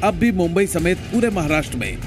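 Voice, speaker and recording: A man's voice: high-pitched, big pitch swings, fairly quick. Man narrating news over music. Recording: music under the voice.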